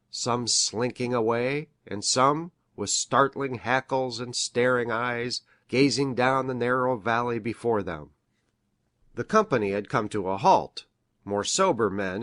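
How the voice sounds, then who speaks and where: An actor playing an earnest country doctor in a mystery drama, reading aloud in a formal, measured, grave way. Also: male voice, medium pitch, a bit bright, no room sound, clean studio sound.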